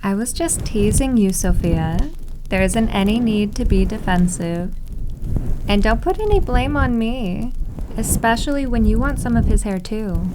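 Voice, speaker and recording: A seductive, big-sisterly maid voiced by a woman coos in a medium-pitched voice. She is syrupy, teasing and quietly possessive, in a roleplay ASMR drama with clear background noise. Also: close to an everyday voice.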